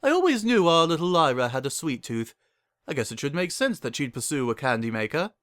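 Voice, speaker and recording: Man as a refined noble mare, motherly, polite, knowing, raised bright voice, storybook character. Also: a little high, sing-song, a little fast, dry recording, studio quality, voice pushed up. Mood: genteel, knowing.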